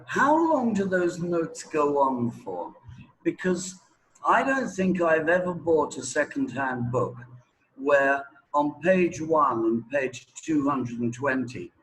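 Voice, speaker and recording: A man's voice: mid-range voice, animated, slow pace, fairly bright tone, poor audio. A man being interviewed as a language teacher, explaining things conversationally and didactically, often checking that the listener follows. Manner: didactic, checking with "right?", "okay?", "yeah?".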